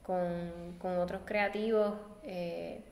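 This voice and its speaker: A woman's voice: on the low side, fairly even intonation, takes it slowly, a little mellow. A woman, a designer being interviewed, answering thoughtfully and searching for her words while seated at a table. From Puerto Rico. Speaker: guest designer. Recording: roomy echo with long reverb.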